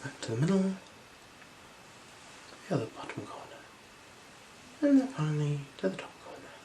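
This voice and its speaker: A gentle, unhurried ASMR performer speaking softly and slipping into whispers while role-playing an eye examiner. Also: medium pitch, big pitch swings, low-quality recording. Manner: gentle, unhurried, soothing instructions. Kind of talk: ASMR role-play, soft-spoken with whispers. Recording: clear background noise.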